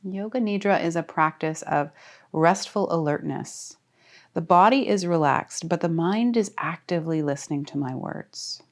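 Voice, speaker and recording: Low-pitched woman guiding a meditation. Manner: calm, soothing, deliberate instruction with pauses. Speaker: yoga nidra teacher. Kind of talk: guided meditation.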